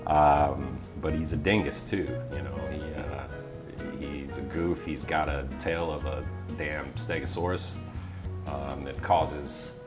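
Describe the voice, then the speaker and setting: A very low-pitched American man talks casually as an interview guest. He is wry and quick to poke fun at himself, and guitar music plays clearly behind him. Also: steady tone. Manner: self-deprecating, wry. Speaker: interview guest, office voiceover talent.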